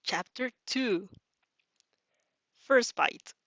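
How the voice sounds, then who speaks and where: A woman's voice: a little high, extremely slow, fairly bright tone, no room sound. A woman reading a story aloud expressively, giggling and stumbling over words as she casually reacts. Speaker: reader reacting to fan fiction.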